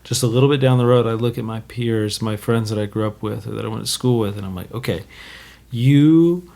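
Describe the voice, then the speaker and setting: A man's voice: lowish voice, a little fast, creaky, dark tone. A man in his thirties, a guest drummer, talking through his thoughts in casual podcast conversation, rambling and correcting himself as he goes. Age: 30s.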